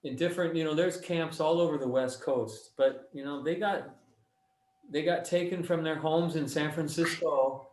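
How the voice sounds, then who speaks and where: A man's voice: a little high, fairly even intonation, moderate pace, darkish tone, a little hiss or hum. A man chatting casually with family over a video call. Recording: echoey room on video call.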